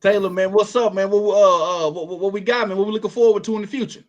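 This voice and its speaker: A man's voice: high-pitched, steady tone, quick pace, highs cut off. A middle-aged man hosting a casual interview, loose and slangy, full of "man" and "you know what I'm saying". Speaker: interview host.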